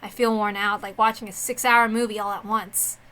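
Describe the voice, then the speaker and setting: Wistful, weary little reader woman performing, soft and low-key, visual novel. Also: level tone, moderate pace, close to an everyday voice.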